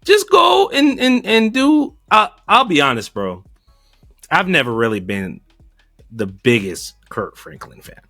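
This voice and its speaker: Male co-host, unhurried talker, animated and joking, dry close mic. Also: no echo, soft background music. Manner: animated, laughing, swings from low asides to loud outbursts. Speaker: podcast co-host. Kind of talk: casual reaction chat.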